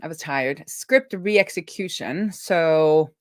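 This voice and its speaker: A woman's voice: sits low, slow, no echo, clean studio sound. A woman chatting casually and informally on a livestream, thinking aloud as she works. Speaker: livestream co-host and web developer. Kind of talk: casual livestream chat while working through code.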